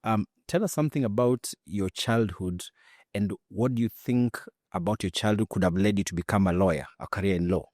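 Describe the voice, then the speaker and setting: A male interviewer with a low, mellow voice asks short, courteous questions. The sound is dry and close. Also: brisk, no echo. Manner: brief, polite questions. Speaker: interviewer, podcast host.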